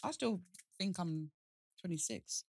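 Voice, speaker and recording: A woman's voice: lowish voice, lively intonation, unhurried, no echo, clean studio sound. A woman in her thirties chatting casually as a podcast co-host. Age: 30s. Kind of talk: casual chat.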